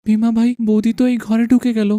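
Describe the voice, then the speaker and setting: An actor playing a bold, loyal village man in an animated folk tale, with a conspiratorial, urging manner. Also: medium pitch, quick pace, dry recording, studio quality, natural voice. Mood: urgent.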